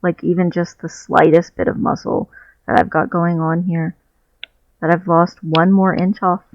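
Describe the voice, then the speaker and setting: A man's voice: on the high side, flat delivery, measured pace, dry recording. A man talking candidly and reflectively in a personal video diary, searching for his words as he goes, recorded on a headset mic while sitting on his bed at home. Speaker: vlogger. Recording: dull, muffled lo-fi headset audio.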